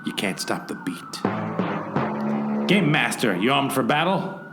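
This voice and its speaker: A man's voice: medium pitch, very animated, unhurried. A man playing a hard-boiled, brooding private eye who narrates and talks wryly in a noir radio play. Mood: dramatic brooding. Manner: melodramatic, wry. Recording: roomy echo, faint music bed. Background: faint music.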